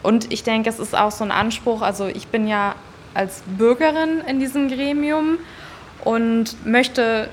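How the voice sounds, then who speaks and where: A woman's voice: fairly high pitch, fairly even intonation, measured pace, slight background noise. A woman giving spontaneous, explanatory answers as an interview guest. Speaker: interviewee, volunteer citizen member of an advisory body.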